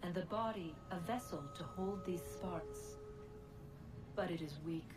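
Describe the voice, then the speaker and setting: A woman voicing a grieving, solemn ancient being in a video game monologue, with measured, dramatic phrasing. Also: on the low side, natural voice. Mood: solemn, brooding. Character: grieving, solemn ancient goddess-like being recounting a lost past. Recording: music under the voice. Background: game score.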